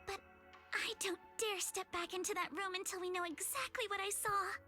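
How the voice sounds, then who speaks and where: A woman's voice: very high-pitched, fairly even intonation, moderate pace, bright, forceful tone. A woman playing a polite, nitpicky, easily frightened young rich lady in a video game, speaking formally and hesitantly, with game music behind. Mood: nervous, embarrassed.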